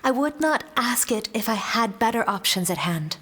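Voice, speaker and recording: A woman playing an anxious, guarded young noblewoman in a fantasy audio drama, speaking in measured, formal, earnest phrases. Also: on the high side, animated, fairly bright tone, slightly rough. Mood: earnest, insistent.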